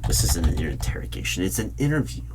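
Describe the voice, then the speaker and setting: Deep-voiced man, probing interviewer with lively pitch. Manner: questioning, deliberate, dramatic pauses. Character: probing, suspicious male hiring manager of a secret bureau. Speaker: roleplay performer playing a hiring interviewer. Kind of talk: loud-spoken ASMR roleplay, one-sided interview. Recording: clear hum and keyboard typing noise. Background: typing on a computer keyboard.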